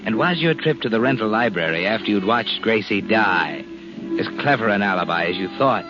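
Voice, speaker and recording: Man acting a low, sinister radio mystery narrator. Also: lively intonation, fairly quick, close to an everyday voice. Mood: sardonic. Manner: insinuating, addresses the culprit directly. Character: sinister, mocking all-knowing male mystery narrator. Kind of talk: 1940s radio suspense narration. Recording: muffled old radio recording. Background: prominent dramatic music.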